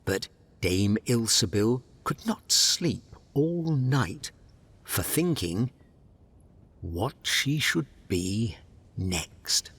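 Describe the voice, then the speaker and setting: A man's voice: on the low side, sing-song, very slow, fairly bright tone, breathy edge. A man narrating a fairy tale as a dramatic, scripted storyteller.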